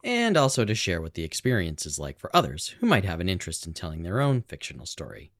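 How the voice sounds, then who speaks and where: Low-voiced guy cohosting a home podcast, rambly, keeps restarting himself. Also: huge pitch swings, quick pace. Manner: rambling, self-correcting, chatty. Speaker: podcast producer and co-host. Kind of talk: casual podcast chat. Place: home recording setup in a house. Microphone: boom-arm microphone.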